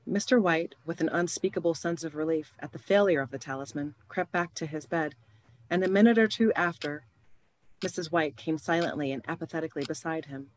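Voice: mid-range voice, brisk, no room sound, natural voice, rough recording. An actor playing a grave, suspenseful storyteller, narrating a horror tale for a radio play in a scripted dramatic style.

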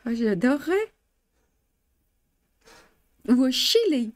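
French lady in her 50s teaching embroidery live, pretty high voice, laughs a lot, mixes english and spanish. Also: sing-song, takes it slowly, no echo. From France. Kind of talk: live-streamed stitching lesson. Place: indoor studio, blue backdrop.

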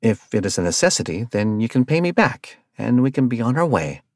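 A man voicing a reserved male office bureaucrat in a story, speaking calmly and measuredly. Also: low-pitched, breathy edge, no room sound, studio quality, full character voice. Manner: calm and measured.

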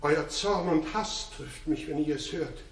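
Scared servant, slow n subdued, echoey old radio play. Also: male voice, fairly high pitch. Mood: fearful, grieving. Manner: hesitant, subdued. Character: fearful servant bringing grave news to his king. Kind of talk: 1960s German radio drama acting. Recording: echoey 1960s radio recording, distant from mic.

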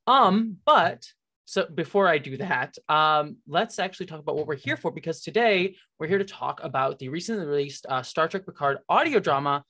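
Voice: mid-range voice, expressive, normal speed, bright, forceful tone. An interview host chatting casually as an enthusiastic fan on a video call, effusive and rambling, often saying "like". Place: indoor room on a video call.

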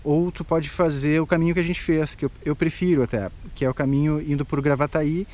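A man's voice: on the high side, steady tone, fairly quick, a little mellow. A man in his forties with a Gaúcho Brazilian accent, explaining routes on a travel vlog with many fillers, filmed on a handheld camera. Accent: Gaúcho Brazilian Portuguese. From southern Brazil. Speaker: vlogger. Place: outdoors on a lawn. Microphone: handheld action camera at arm's length.

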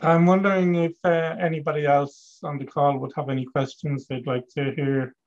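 A middle-aged man hosting a coaching webinar, asking calm, measured questions of a client on a video call. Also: on the high side. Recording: dull lo-fi video call audio.

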